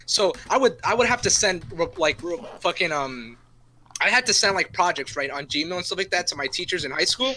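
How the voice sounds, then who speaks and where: A man's voice: high-pitched, lively intonation, fairly quick, bright, forceful tone, a little hiss or hum. A young man co-hosting a podcast, chatting casually with friends in a loose, profane way, with frequent restarts and laughing asides. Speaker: podcast co-host. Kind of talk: casual chat among friends.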